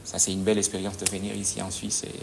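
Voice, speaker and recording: A man, a judo coach answering interview questions in a halting way, often pausing to search for words. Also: low voice, completely flat delivery, creaky, slight background noise. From DR Congo.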